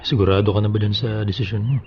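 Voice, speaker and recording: An actor playing a somber, caring older brother-in-law in a Tagalog radio drama, consoling and measured. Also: male voice, on the low side, fairly even intonation, lo-fi sound, a little hiss or hum, close to an everyday voice. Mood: gentle concern.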